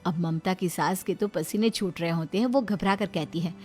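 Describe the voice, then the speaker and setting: A woman's voice: lowish voice, normal speed, darkish tone. A woman narrating a scripted dramatic story. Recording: music under the voice.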